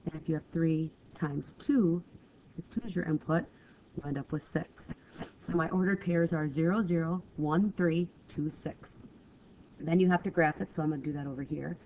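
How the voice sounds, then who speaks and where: Stuffy-voiced woman teaching math, gravelly. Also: deep voice, fairly even intonation, moderate pace, mellow. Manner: patient, step-by-step, apologetic. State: stuffy from a cold. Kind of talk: screencast lesson walkthrough. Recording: dull lo-fi screen recording with faint hiss.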